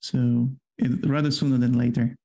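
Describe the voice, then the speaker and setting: A man's voice: mid-range voice, flat delivery, moderate pace, gravelly, warm tone. Polish-accented guy, second-language english, chatty podcast cohost, lots of "you know" and "like". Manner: rambling, filler-heavy explaining. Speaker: podcast co-host. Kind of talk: casual chat.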